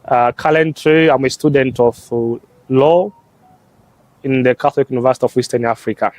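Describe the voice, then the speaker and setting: A young religious brother and law student from Tanzania, explaining church teaching on marriage in short, halting phrases with many pauses and fillers. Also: male voice, medium pitch, a little mellow. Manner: slow, halting delivery in short phrases with frequent "uh".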